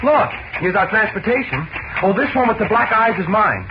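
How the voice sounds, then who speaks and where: A man's voice: high voice, expressive, normal speed. An actor playing a cheerful, teasing teenage boy in a 1940s radio drama. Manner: breezy, teasing. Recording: muffled old radio broadcast with hiss.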